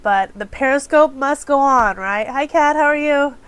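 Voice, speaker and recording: A woman's voice: sits high, normal speed, a bit bright. Stuffed-up woman chatting on a live stream. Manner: chatty, apologetic, greets viewers by name. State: congested with a head cold. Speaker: live stream host for small business owners. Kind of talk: casual live stream chat. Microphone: phone camera.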